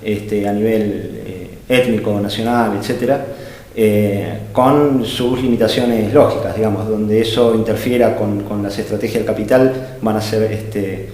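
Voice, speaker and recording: A man's voice: lowish voice, steady tone, measured pace, a little mellow. A man giving an unscripted philosophical talk, hesitating often and searching for words with fillers and restarts. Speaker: speaker at an art-gallery think tank. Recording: echoey hall with long reverb.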